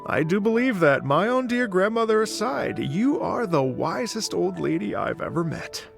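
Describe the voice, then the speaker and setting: A polite, slightly theatrical musician in a video game. His voice is gravelly and rises and falls with animated flourishes. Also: sits high, normal speed, soft background music, exaggerated voice. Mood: courteous. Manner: courteous, a little theatrical.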